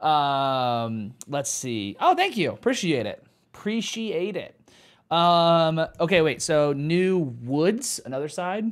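Animated, higher-pitched thirty-year-old man chatting. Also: takes it slowly. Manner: profane, animated, joking. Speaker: host of a music listening livestream. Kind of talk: casual chat. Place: small home studio room. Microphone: close microphone on boom arm.

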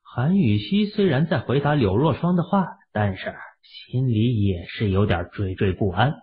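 A man with a raspy voice narrating an audio drama in a steady storytelling manner. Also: mid-range voice, normal speed, phone-quality sound.

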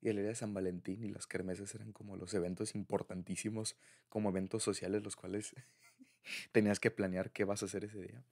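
Young adult man, Mexican accent, gravelly husky voice, intimate boyfriend-roleplay chat, informal, slangy. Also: fairly low pitch, level tone, a little fast, a little mellow. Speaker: audio roleplay creator speaking to a partner.

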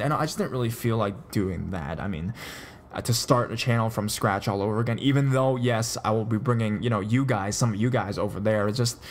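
A man hosting a podcast, chatting casually and rambling, with frequent stammers and restarts. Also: mid-range voice. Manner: loose, chatty delivery with many fillers. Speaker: podcast host.

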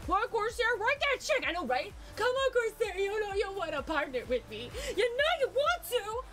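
Bubbly high-voiced woman streamer. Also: normal speed. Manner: bubbly, excitable, laughs often. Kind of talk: casual live-stream chat. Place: gaming room lit with colored lights. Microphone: desk mic with headset. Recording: music under the voice. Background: background music.

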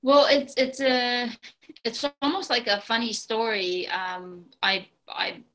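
A woman in her forties from Romania, speaking English with a Romanian accent as a guest on a video call, pausing often with ums and restarts. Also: on the high side, steady tone, a bit bright. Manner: hesitant, many ums and restarts. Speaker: guest, real estate broker. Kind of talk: casual interview talk. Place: home room, indoors.

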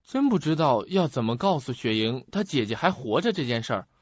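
An actor in an audio drama playing an earnest, puzzled young student who questions and muses to himself. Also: male voice, mid-range voice, big pitch swings, brisk, slightly rough, no room sound. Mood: urgent, pondering. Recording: muffled lo-fi audio.

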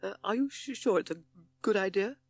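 A man's voice: very high-pitched, very animated, brisk, no room sound, pitched above the performer's own voice, exaggerated voice. A man voicing a timid, cowardly little storybook toad who stammers and hesitates nervously.

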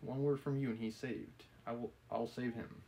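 A man reading the part of an obsessive, menacing gentleman from a novel, insistent and persuasive. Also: medium pitch, steady tone, moderate pace, lightly acted, low-quality recording. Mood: feverish pleading. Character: obsessive, menacing gentleman pleading with and cornering a woman. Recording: clearly audible background noise.

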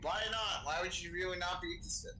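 A man's voice: sits high, fast talker, pushed and bright, slight background noise. A man chatting casually in character during an online role-playing game, swearing now and then, on a voice chat mic. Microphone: game voice chat microphone.

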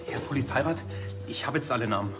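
An actor playing a naive, obliging junior police officer. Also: male voice, medium pitch, quick pace, over music, close to an everyday voice. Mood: earnest.

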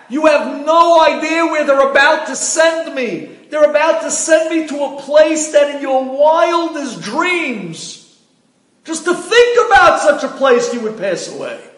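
A man's voice: extremely high pitch, pitched above the performer's own voice, exaggerated voice. A man voicing a frantic dead doctor who screams and pleads for his soul. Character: frantic deceased male doctor, pleading and desperate. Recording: echoey room, distant mic.

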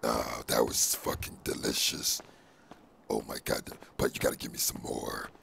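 A man voicing a hungry, greedy alien symbiote creature in a very deep, gravelly, whispery voice through a pitched-down effect, talking playfully and teasingly. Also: monotone, normal speed, fairly bright tone, slight background noise, exaggerated voice.

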